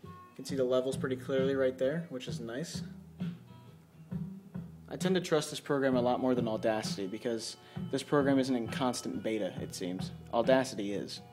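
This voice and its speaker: Casual male hobbyist, mid-pitched, informal home tech demo on a handheld camera, faint music in the background. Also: normal speed. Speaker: hobbyist demonstrating a home studio setup. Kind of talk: casual off-the-cuff tech walkthrough. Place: dim room at a home computer setup. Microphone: handheld camera or phone mic.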